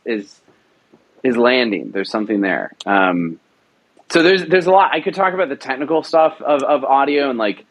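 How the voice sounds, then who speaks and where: A man's voice: mid-range voice, fairly bright tone. A man, a drama producer, talking earnestly in an interview, emphatic and often repeating words as he thinks. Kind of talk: passionate conversational interview.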